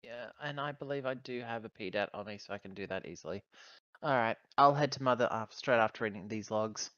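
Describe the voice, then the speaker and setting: A man's voice: lowish voice, brisk, fairly bright tone, no echo, highs cut off. A man chatting casually as a player in a tabletop roleplaying game.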